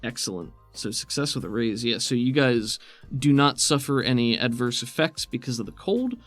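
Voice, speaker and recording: A man's voice: medium pitch, expressive, moderate pace, no echo, soft background music. A man speaking as game master, explaining rules and narrating in an easygoing, conversational way. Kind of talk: running a tabletop roleplaying game, explaining rules.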